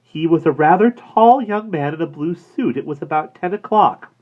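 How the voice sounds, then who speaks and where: An anxious young woman, high-pitched and breathless, pleading with a desperate urgency, as a man reading a story puts her on. The voice leaps high above the narrator's own, and the recording sounds dull and lo-fi. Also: animated, normal speed.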